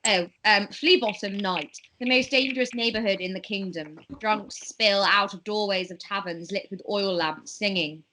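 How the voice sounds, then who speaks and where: A woman's voice: medium pitch, normal speed, few pauses, pushed and bright, slight background noise, poor audio. A woman narrating a table read, reading stage directions aloud and at times stumbling over words.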